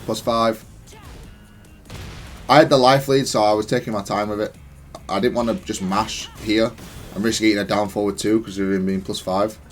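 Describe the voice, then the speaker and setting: Man, lowish voice, matter-of-fact gameplay breakdown, stop-start thinking aloud, faint music behind. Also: steady tone, moderate pace, pauses often. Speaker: gamer explaining own match replay. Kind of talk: analytical gameplay commentary.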